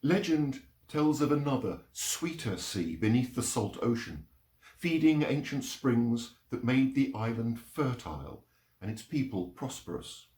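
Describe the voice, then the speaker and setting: A man reading poetry and short stories aloud in a measured, expressive storytelling delivery. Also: medium pitch. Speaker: reader of poems and short stories. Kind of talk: literary reading aloud, performed narration. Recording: roomy, echoing sound.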